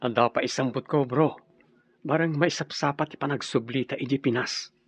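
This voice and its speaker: A man's voice: medium pitch, steady tone, moderate pace, slightly rough. An actor playing an earnest, homesick businessman in a radio drama. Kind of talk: Ilocano radio drama acting. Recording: dull, lo-fi dry audio, music behind.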